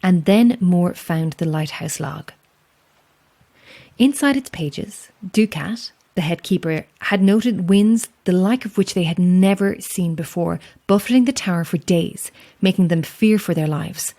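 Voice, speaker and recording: A solitary Irish lighthouse keeper in an audio horror drama. She is calm and watchful, with a dry wit and a measured, understated delivery. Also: fairly low pitch. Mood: hushed, ominous. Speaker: lighthouse keeper and narrator of a tale.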